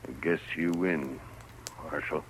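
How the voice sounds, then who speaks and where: An American actor playing an arrogant, threatening rich townsman in a 1950s radio western, gruff, curt and imperious. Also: a bass voice, fairly even intonation, unhurried. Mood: sullen. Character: arrogant, threatening rich frontier town big man. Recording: noisy old 1950s radio recording.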